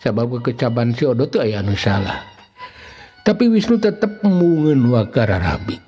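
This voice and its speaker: A man's voice: medium pitch, big pitch swings, moderate pace, mellow. A man with a Sundanese accent narrating a folk tale as a storyteller. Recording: long reverb, dull muffled sound. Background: faint music.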